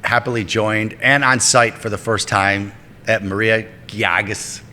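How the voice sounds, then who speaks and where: A man's voice: on the low side, steady tone, measured pace, pushed and bright, long reverb tail, a little hiss or hum. A man hosting a podcast interview, asking long, rambling questions full of fillers and restarts. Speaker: podcast host.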